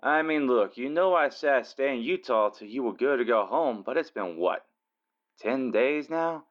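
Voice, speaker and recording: A man's voice: medium pitch, expressive, normal speed, natural voice. Muffled male buddy on a phone, acted. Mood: apologetic. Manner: casual, hedging. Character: apologetic friend eager to get home. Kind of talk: naturalistic radio drama phone dialogue. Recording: muffled telephone call.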